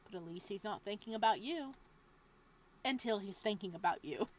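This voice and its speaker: A woman reading a visual novel aloud as a let's play host, moving between dramatic narration and casual, expressive reactions. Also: medium pitch, slight background noise. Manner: expressive, exclamatory asides with laughter between measured reading.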